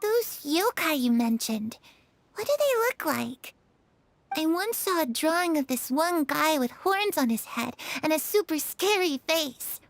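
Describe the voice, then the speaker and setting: An actress playing a gentle, wistful teenage girl in a video game, earnest and soft-hearted. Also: high voice, lively intonation, unhurried, dry recording. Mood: dreamy, playful. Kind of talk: scripted video game dialogue. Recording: background music under voice.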